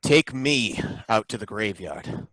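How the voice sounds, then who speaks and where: An actor playing a suspicious, bumbling license inspector who asks halting, probing questions in a live old-time radio drama. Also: male voice, fairly low pitch, expressive, noisy background.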